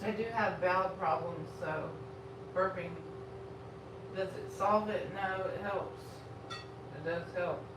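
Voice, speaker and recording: A Texan woman chats loosely and frankly as she cooks breakfast on a live stream. She sits well back from the mic in a roomy kitchen, with a steady noisy hum behind her. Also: lowish voice, slow pace, long pauses, distant mic. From Texas. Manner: rambling and frank, talks while eating and cooking. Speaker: food vlogger. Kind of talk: casual live-stream chat while cooking.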